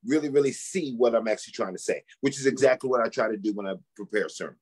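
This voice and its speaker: Husky-voiced middle-aged male guest. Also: medium pitch, very fast, darkish tone. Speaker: pastor, interview guest and novice stage actor. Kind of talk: conversational interview talk.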